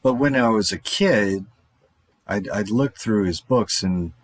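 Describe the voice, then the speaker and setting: A man voicing a lone survivor of the zombie end times, wry and wandering in thought, with a husky low voice. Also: unhurried, dry recording. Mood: musing. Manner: rambling, darkly wry monologue. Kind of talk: audio drama monologue.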